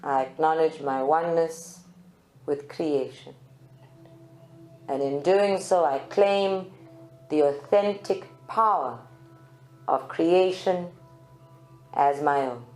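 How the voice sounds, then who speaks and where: Female meditation guide, very slow and deliberate, recorded live in a roomy space with faint music. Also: sits low, darkish tone. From South Africa. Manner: slow, deliberate phrases with long pauses. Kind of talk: guided meditation, spiritual channeling. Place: group meditation room at a centre.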